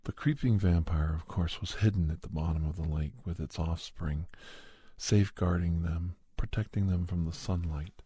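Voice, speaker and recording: A man playing a calm doctor who recounts a monster hunt as ASMR horror storytelling, in a measured, halting, deliberate way. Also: sits low, breathy edge, dry recording. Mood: measured, reflective.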